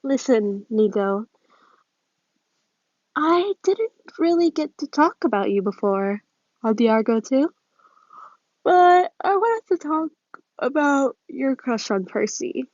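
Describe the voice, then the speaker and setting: A woman's voice: sits high, expressive, slow pace, long pauses, darkish tone, no room sound, pitched above the performer's own voice, heavily acted. A woman voicing a friendly, tactful teenage girl with an earnest, chatty manner in a story reading. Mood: friendly concern. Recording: muffled phone recording.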